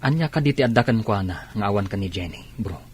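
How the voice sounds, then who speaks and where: A man's voice: on the low side, animated, fast, slight background noise, close to an everyday voice. A man acting as a concerned, probing friend in a radio drama, conversational and questioning. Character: concerned, probing male friend giving advice.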